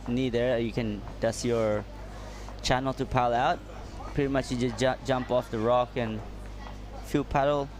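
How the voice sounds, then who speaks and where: Friendly man co-commentating surfing, halting. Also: medium pitch, a little mellow. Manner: halting, friendly, laughs easily. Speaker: co-commentator and surfer. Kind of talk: live sports commentary. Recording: noisy outdoor ambience with traffic.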